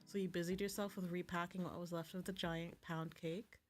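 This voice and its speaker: A woman's voice: on the low side, little pitch movement, a little fast. A woman playing a visual novel, reading it aloud and reacting in a casual, slangy, unpolished way. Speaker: video game streamer. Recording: music playing behind the voice. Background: game music.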